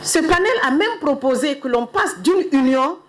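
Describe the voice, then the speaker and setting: A middle-aged woman speaking on behalf of an official, giving a solemn, measured ceremonial address. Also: sits high, animated. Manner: measured, solemn, stately delivery.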